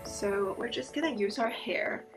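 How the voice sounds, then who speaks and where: A young woman talking casually to camera in her bedroom while doing her skincare, chatty and bubbly, her voice slightly hoarse. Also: a little high, unhurried. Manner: chatty and bubbly, repeats words. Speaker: beauty vlogger and teacher. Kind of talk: casual get-ready-with-me chat. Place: bedroom with dresser and fairy lights. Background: background music.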